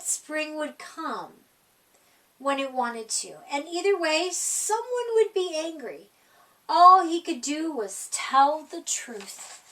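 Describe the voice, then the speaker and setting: A woman storyteller reading a children's picture book aloud in an expressive style. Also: sits high. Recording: voice a little distant from mic.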